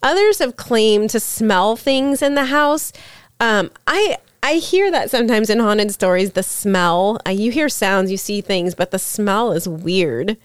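A woman co-hosting a podcast with a girlish, smooth and clear voice. She tells stories in a casual, chatty way. The recording is dry and close, with no room around her. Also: mid-range voice, animated, measured pace, no room sound. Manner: girlish-sounding voice. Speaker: podcast co-host. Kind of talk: casual storytelling chat.

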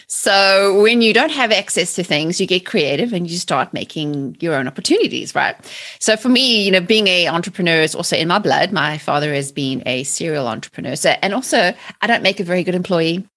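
Chatty South African woman, lower voice. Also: brisk. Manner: lively, chatty, laughs often. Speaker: guest, mindset trainer. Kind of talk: casual interview chat.

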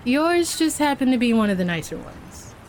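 A woman's voice: fairly high pitch, sing-song, normal speed, darkish tone, slight background noise, poor audio. A woman playing a casual, forthright, teasing barista in a naturalistic fiction podcast. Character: frank, flirty, casual female barista.

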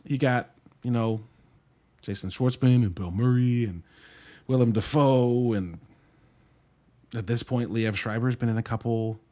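A man's voice: mid-range voice, a little slow, pauses often, mellow. A man talks through films as a podcast host, in an everyday mid-range voice. His delivery is casual and conversational, with wry, dry asides and thoughts that double back on themselves. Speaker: podcast host and movie reviewer. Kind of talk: casual solo movie review commentary.

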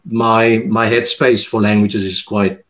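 A man from Cape Town with a South African accent, a poet speaking casually in an interview and reading his own work, halting and hesitant with many fillers. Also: on the low side, little pitch movement, a bit bright. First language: Afrikaans. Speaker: poet, guest.

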